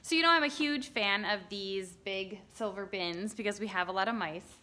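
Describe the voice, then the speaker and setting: A woman's voice: mid-range voice, normal speed, sharp tone. A woman in her thirties chatting casually in a barn pigeon coop, talking into a phone held at arm's length. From Wisconsin, United States. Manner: chatty, lots of ums and likes. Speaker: hobby farmer vlogger. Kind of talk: casual farm vlog.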